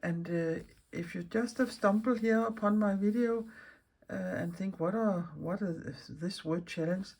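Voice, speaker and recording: A woman from Denmark with a Danish accent, chatting casually and unscripted as a craft vlog host, rambling and searching for words in halting non-native speech. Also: mid-range voice, darkish tone. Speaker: mixed media artist and video host.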